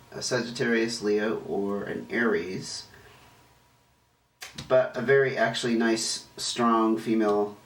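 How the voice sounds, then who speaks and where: A man hosting a tarot reading in a rambling, chatty way, his voice rough and hoarse as he recovers from illness. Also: mid-range voice. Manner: chatty, rambling, self-correcting. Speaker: tarot reader and channel host. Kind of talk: casual tarot card reading to camera. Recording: roomy echo, distant mic, faint noise.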